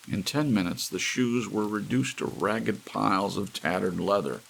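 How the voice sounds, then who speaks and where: A man with a very low, gravelly voice reads a sci-fi story as an ASMR audiobook. Soft rain and faint hiss run behind the narration. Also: unhurried.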